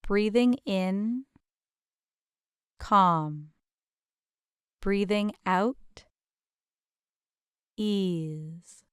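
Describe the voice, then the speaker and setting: Slow, smooth-voiced woman guiding meditation. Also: medium pitch, dry recording, clean studio sound. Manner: gentle, soothing, invitational, with deliberate pauses. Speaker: meditation guide. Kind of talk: guided meditation narration.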